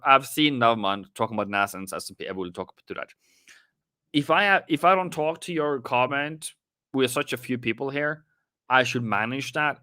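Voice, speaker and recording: Chatty Norwegian man with a bright voice. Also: mid-range voice, no room sound, clean studio sound. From Norway. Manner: chatty, rambling, self-deprecating, talks to chat. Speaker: forex trading streamer. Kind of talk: live-stream trading commentary.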